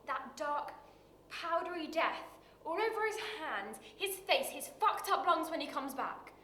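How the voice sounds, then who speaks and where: A woman acting a dramatic screen monologue as a bitter, wounded working-class woman, confessional and halting, with weighted pauses. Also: fairly high pitch, fairly bright tone. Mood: bitter, intense. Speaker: actor performing a monologue. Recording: roomy echo with light background noise.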